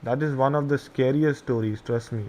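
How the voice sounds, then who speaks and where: A man's voice: mid-range voice, normal speed, warm tone. A young man from India with an Indian English accent, a bit tipsy, rambling, laughing and reading out chat messages as a live podcast host. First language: not English. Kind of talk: casual live-stream chat and story reading.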